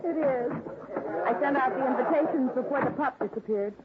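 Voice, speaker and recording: A woman's voice: high-pitched, fairly quick, heavy background noise, natural voice. A woman playing a cheerful young bride-to-be. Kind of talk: 1950s radio drama acting. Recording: tinny old broadcast with party crowd.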